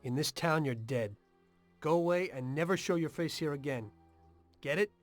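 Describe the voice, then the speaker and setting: An actor as a brooding, conflicted mobster. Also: male voice, on the high side, normal speed, faint music behind, natural voice. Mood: stern, warning. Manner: brooding, blunt. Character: weary, conflicted male mobster with a conscience. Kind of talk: dramatic video game voice acting.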